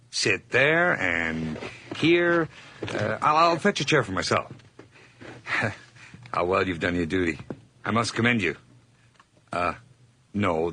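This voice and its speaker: A man's voice: on the low side, bright, forceful tone, husky, exaggerated voice. An actor playing a nervous, overly eager hired man who stammers through forced cheer in a radio drama. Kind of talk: 1980s radio drama acting.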